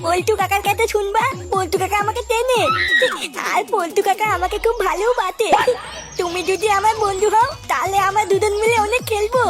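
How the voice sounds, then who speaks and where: A performer voicing a cheeky, boastful little cartoon boy in a very high, artificial childlike voice, bragging and coaxing. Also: fairly quick, over loud music. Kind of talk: comedy cartoon voice acting.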